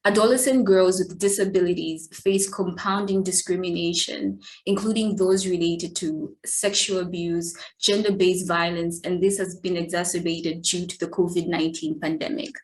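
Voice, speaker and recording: A woman's voice: lowish voice, rough recording. A woman from Malawi moderating a formal online event, welcoming the audience and reading her introduction.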